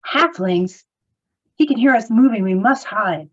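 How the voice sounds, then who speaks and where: Dull lo-fi audio, woman playing cautious hobbit, fairly high and lively, earnest fantasy read. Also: unhurried. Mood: urgent, wary. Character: Frodo, thoughtful, cautious young hobbit leader. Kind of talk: script table read.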